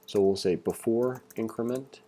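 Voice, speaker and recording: A man with a lowish, very relaxed and mellow voice teaches in an informal screencast style. He talks conversationally, thinking aloud as he reads along and comments. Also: moderate pace, slight background noise. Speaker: programming tutorial instructor. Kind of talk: casual screencast tutorial, reading along and commenting.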